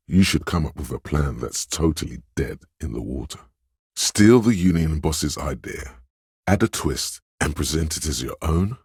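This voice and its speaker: Smooth-talking inner voice of persuasion for a game: extremely low, very husky, insinuating advisor. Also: male voice, a little mellow, no room sound, studio quality, exaggerated voice. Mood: sly, wry. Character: suave, coaxing inner voice of persuasion, male. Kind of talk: video game voice acting, advisory narration.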